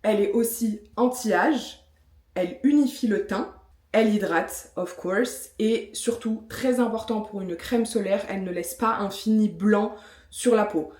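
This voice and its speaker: A woman's voice: medium pitch, a little slow, a little mellow. A woman in her thirties from France, talking chattily to camera with frequent fillers and self-mocking asides while reviewing skincare. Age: 30s. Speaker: vlogger. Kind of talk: casual vlog talk with product review. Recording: echoey room.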